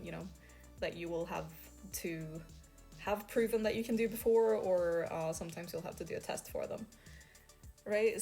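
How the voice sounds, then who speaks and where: A young Swedish woman with a fairly low voice talks easily to camera, full of "like" and "um" and small laughs. Soft music plays quietly behind her. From Sweden. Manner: chatty, many fillers and soft laughs. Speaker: freelance artist. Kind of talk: casual talk to camera.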